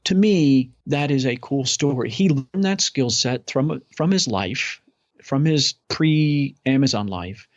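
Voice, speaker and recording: A man's voice: mid-range voice, animated, slow, dry recording. A man talking as a guest in a casual interview, upbeat, enthusiastic and rambling. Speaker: podcast guest. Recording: dull lo-fi audio.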